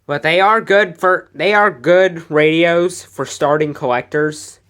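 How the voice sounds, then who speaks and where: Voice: medium pitch, measured pace, fairly bright tone. An American speaker with an informal, rambling, matter-of-fact way of talking, showing off a collection in a home video. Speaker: weather radio collector presenting a collection. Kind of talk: casual product showcase vlog. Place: indoor room at home.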